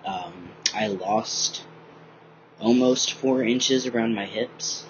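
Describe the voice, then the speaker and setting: A young man vlogging in a bright room at home, rambling casually with lots of ums, a little groggy from just waking up. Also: on the low side, takes it slowly, noisy background. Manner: rambling, many ums, relaxed and candid. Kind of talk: casual personal video diary. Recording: dull lo-fi webcam audio.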